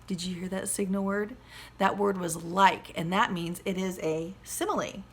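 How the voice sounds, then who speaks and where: A woman's voice: medium pitch, moderate pace. A woman teacher with a slightly scratchy voice, explaining simply to young children as she teaches a lesson and reads a picture book. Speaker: second-grade teacher. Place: at home.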